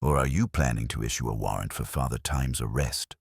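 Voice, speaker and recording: A man voicing a cocky, wisecracking detective's assistant in an audio story, sardonic and quick-witted. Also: rumbling low, gravelly, breathy edge, no room sound, studio quality, pitched below the performer's own voice, heavily acted.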